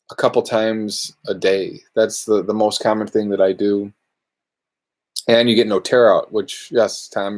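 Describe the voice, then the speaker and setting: A man's voice: lowish voice, darkish tone. A man hosting a live luthier Q&A from his workshop, chatting casually and rambling through viewer questions. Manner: laid-back, rambling, answers viewer questions. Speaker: luthier podcast host.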